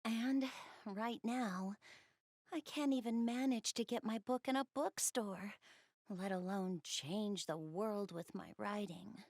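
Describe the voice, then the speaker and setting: A woman's voice: mid-range voice, slow, a little mellow, no echo, studio quality. A woman voicing a shy, bookish young goddess in a video game, speaking hesitantly and earnestly. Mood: dejected, pensive. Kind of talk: video game character voice acting, intimate one-to-one dialogue.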